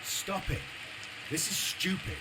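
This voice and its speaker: A man playing a calm, commanding resistance leader in a video game cutscene, firm and decisive in his delivery. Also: a little high, slow, very noisy. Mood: urgent, angry. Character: resolute male resistance leader, calm, commanding, weary of infighting.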